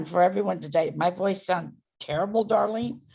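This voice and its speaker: Hoarse, gravelly-voiced woman dog trainer. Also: mid-range voice, normal speed. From San Francisco Bay Area. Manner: instructive, loud and emphatic. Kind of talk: casual training chat.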